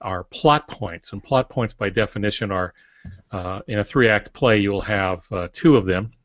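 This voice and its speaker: An older man explaining things in a halting, digressive way as a co-host in a panel discussion. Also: fairly low pitch, a bit bright. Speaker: podcast co-host, writing instructor. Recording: muffled, roomy lo-fi podcast audio.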